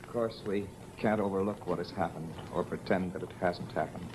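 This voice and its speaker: Grave stage lover played by a man, very low voice, subdued theatrical monologue, noisy old broadcast. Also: steady tone, fairly quick, close to an everyday voice. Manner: solemn, subdued, underplayed. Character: grave, brooding stage actor rehearsing a lover's part.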